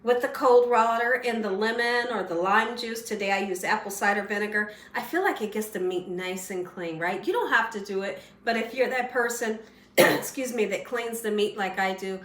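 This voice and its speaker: A woman hosting a home cooking show, chatty and folksy, slightly hoarse as she loses her voice, often asking "right?". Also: mid-range voice, normal speed, fairly bright tone, roomy sound, recorded from a distance. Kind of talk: casual cooking demonstration. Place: home kitchen.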